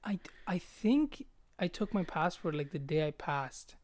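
A man's voice: on the high side, very animated, a little slow, a little mellow. A young man from Bangladesh hosting a casual podcast chat, loose and laid-back, swearing often and laughing easily. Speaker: podcast host.

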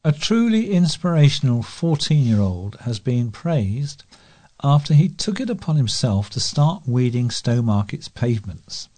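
Mellow man reading the news. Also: mid-range voice, big pitch swings, a little slow. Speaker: volunteer newsreader for a local talking newspaper.

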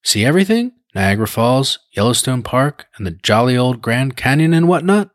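A man reading aloud, voicing a flustered, chatty young gentleman who babbles in a hearty, nervous way. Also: medium pitch, very animated, moderate pace, no room sound, studio quality, voice pushed up, lightly acted.

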